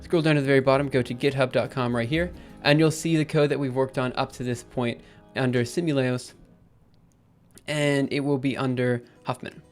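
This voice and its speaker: A man live-streaming his coding session, thinking aloud in an upbeat, chatty way while typing, his voice a little raspy. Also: mid-range voice, steady tone, normal speed, faint music behind. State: slightly raspy after a drink. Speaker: programming livestreamer. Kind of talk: live coding stream, casual talk-through.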